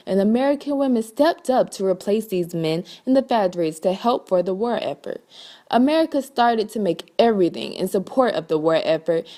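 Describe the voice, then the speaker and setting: Mellow-voiced woman narrating history. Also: mid-range voice. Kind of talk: scripted documentary narration.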